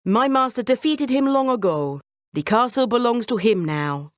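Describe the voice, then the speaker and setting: A woman voicing a clever, confident male cat with a smooth-talking, courtly manner in a storybook tale. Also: on the high side, expressive, normal speed, no echo, studio quality, voice pushed up, lightly acted. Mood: courtly, bold.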